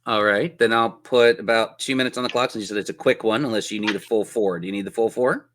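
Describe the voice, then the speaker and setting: A man's voice: on the low side, steady tone, quick pace, a bit bright, a little hiss or hum. A man running a tabletop role-playing game as game master, telling the story in a descriptive, theatrical way.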